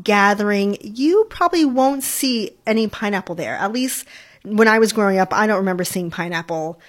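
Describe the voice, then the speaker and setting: A woman's voice: medium pitch, normal speed. A young adult woman from New York, hosting a food podcast in a friendly, chatty way and talking with enthusiasm about food. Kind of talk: casual solo podcast talk.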